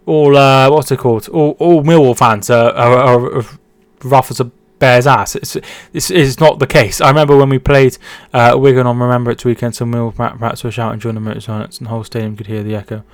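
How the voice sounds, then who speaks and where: A man's voice: mid-range voice, fairly even intonation, brisk, hardly any pauses, no room sound. A man hosting a casual football watch-along stream from home, reading out chat and calling the match. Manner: chatty, repeats words and restarts while reading chat.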